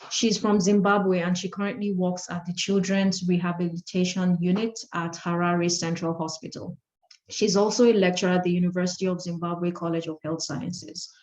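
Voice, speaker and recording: A woman co-host introducing guests in a measured, formal way, with an occasional "um.". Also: on the low side, darkish tone. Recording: echoey, dull lo-fi audio.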